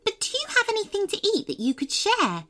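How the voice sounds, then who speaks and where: A woman voicing a tiny, polite female fairy who speaks quickly in a high voice. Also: huge pitch swings, pushed and bright, heavily acted.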